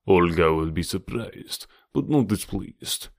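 A man voicing a suave, scheming villain who gloats in a deliberate, theatrical way in an audiobook. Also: deep voice, expressive, gravelly, no room sound, studio quality, exaggerated voice. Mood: smug, gloating. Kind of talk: audiobook character dialogue.

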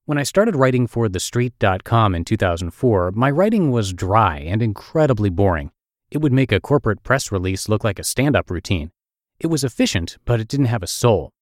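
A man's voice: lowish voice, big pitch swings, brisk, no echo, clean studio sound. A middle-aged man with a Russian accent reading his own essay aloud in a wry, self-deprecating storytelling manner. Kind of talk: read-aloud essay narration.

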